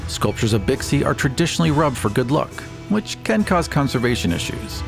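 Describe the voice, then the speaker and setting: A man's voice: mid-range voice, very animated, a little fast, gravelly, over music. A man speaking as a podcast host. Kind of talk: podcast discussion with some read-out passages.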